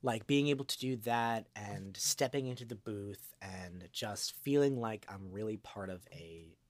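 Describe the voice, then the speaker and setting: A man's voice: on the low side, unhurried, no echo. A man giving a casual interview as a voice actor, talking in a hesitant, rambling way and drifting into asides. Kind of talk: casual, unscripted interview chat.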